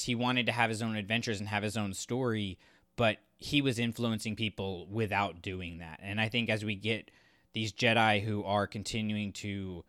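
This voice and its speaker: A man co-hosting a podcast, talking casually about books in a halting, thinking-aloud way. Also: on the low side, pushed and bright, smooth, no room sound, clean studio sound. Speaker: podcast co-host reviewing books. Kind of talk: casual discussion and commentary.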